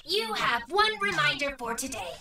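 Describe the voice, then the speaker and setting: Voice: high-pitched, big pitch swings, normal speed, gravelly, reverberant, distant mic, exaggerated voice. An actor voicing a literal-minded, relentless robot playmate in a children's cartoon, making formal mechanical announcements. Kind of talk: animated children's cartoon acting. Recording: music behind the voice.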